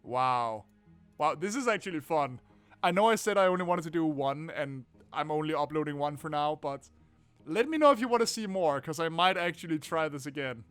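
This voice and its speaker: A man with a fairly high voice hosting a game playthrough. He reads narration and comments casually and expressively, swearing freely, with faint music behind him. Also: expressive, normal speed, a bit bright, clean tone, dry recording. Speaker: gaming video host.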